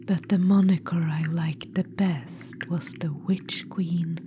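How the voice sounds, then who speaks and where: A woman voicing a vain undead witch-queen necromancer in an ASMR roleplay, whispering softly and calling the listener darling. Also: fairly low pitch, slow pace. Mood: smug whisper. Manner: soft intimate whisper, calls listener darling; smug, boastful, self-satisfied. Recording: whisper with steady background noise.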